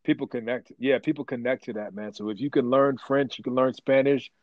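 A man being interviewed about his work as a voice actor, telling stories in a rambling, animated way with lots of restarts. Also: mid-range voice, brisk, dull audio. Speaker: voice actor guest. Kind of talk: casual interview chat.

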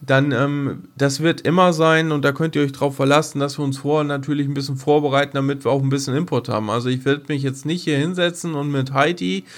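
A man's voice: a little high, fairly even intonation, fast talker, hardly any pauses. A man chatting casually as a podcast co-host, in a rambling, colloquial and enthusiastic way.

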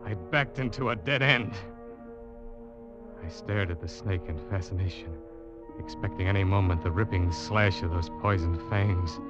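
A man's voice: deep voice, lightly acted. Actor as tense young seaman, muffled old radio. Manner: tense, dramatic, deliberate storytelling. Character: guilt-ridden young seaman trapped with a deadly snake, narrating his ordeal. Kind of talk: 1940s-50s radio drama acting, suspense narration. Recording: muffled old radio broadcast, loud music behind.

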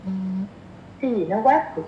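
A woman's voice: fairly high pitch, animated, moderate pace, faint music behind. A woman podcast guest casually explaining her opinions, often repeating phrases for emphasis. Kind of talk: casual chat, sharing opinions. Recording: roomy echo, dull lo-fi sound, faint noise.

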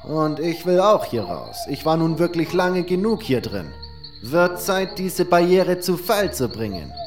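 A male narrator gives voice to a determined young woman in a fantasy audiobook. The pitch is raised and the delivery plain and resolute. Music plays clearly beneath. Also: big pitch swings, measured pace. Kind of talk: audiobook character voice.

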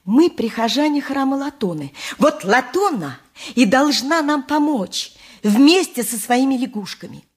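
An actress in a radio play playing the long-suffering, shrewd wife of a poor donkey driver, with a husky voice and a plaintive, wry, emphatic manner. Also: female voice, high-pitched, big pitch swings, measured pace, exaggerated voice. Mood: shrewd, determined. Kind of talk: radio play acting, 1980s, dramatic monologue.

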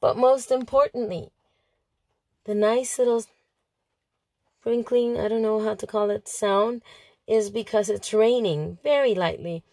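A woman with a hoarse, under-the-weather voice, talking informally and a little hesitantly to her listeners. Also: fairly high pitch, unhurried, long pauses, darkish tone, no room sound. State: hoarse, unwell. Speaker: host of an amateur audiobook podcast. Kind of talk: casual spoken update to listeners. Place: inside a parked vehicle in light rain for part of it.

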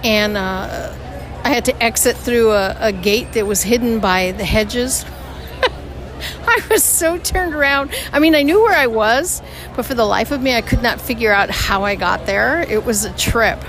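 A woman hosting a podcast, telling a story in a casual, chatty way, her voice hoarse and her throat tight. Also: a little high, measured pace, background noise. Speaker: podcast host. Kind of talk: casual theme-park audio diary. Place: outdoors on a theme park street. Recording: outdoor park recording with music and crowd. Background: band music and crowd.

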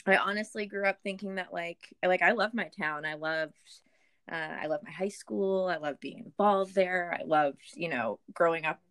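An American woman telling her personal story as a guest in a candid, reflective, halting way, often restarting her phrases. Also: fairly low pitch, fairly bright tone, smooth. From Boston area, Massachusetts, USA. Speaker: podcast guest, business owner.

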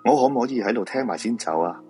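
A man's voice: mid-range voice, lively intonation, very fast, warm tone, breathy edge, soft background music. A man with a Hong Kong Cantonese accent acting a weary, persuasive feng shui master in a supernatural radio drama. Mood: wry, placating.

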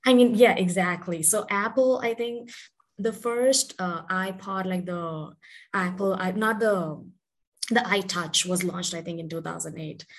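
A woman's voice: lowish voice, a little slow. A woman in her thirties, from India, telling her story as a guest in a chatty, self-deprecating way with many fillers. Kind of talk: casual interview storytelling.

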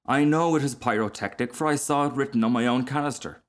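A man's voice: medium pitch, fast, pitched above the performer's own voice, natural voice. Man voicing a touchy, insistent Bengal light firework. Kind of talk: storybook character voice.

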